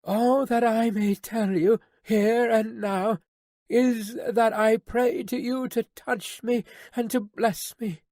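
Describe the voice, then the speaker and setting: A woman's voice: on the high side, steady tone, slow, dark tone, no room sound, pitched above the performer's own voice. A woman voicing a young woman, a tender daughter, who pleads earnestly and repeats her refrains. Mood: pleading. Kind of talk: audiobook character reading.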